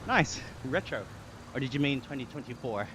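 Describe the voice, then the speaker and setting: A man's voice: medium pitch, lively intonation, moderate pace. Young australian man, live streamer, upbeat chatty delivery, game traffic noise behind. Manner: upbeat, laughs often, thanks viewers warmly. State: exercising on an indoor bike. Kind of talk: casual chat reading viewer comments.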